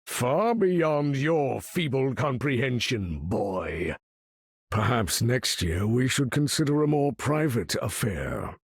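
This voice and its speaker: An actor playing a proud, imperious older mutant leader in a video game, speaking in a grand, commanding, formal manner with a husky, gravelly voice. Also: male voice, medium pitch, expressive, slow, dry recording, clean studio sound, full character voice. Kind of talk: theatrical video game character acting.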